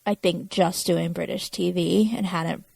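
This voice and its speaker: A woman's voice: on the low side, steady tone, measured pace, creaky, darkish tone, slightly rough, no room sound. A woman talking casually as a podcast co-host, chatting through a television episode. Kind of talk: casual chat about a TV episode.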